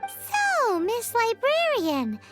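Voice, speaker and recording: A woman voicing a tiny, excitable, blunt childlike fairy companion in a video game, with a squeaky, chirpy delivery. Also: extremely slow, music in the background, exaggerated voice. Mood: cheeky, curious. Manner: chirpy, speaks of herself by name.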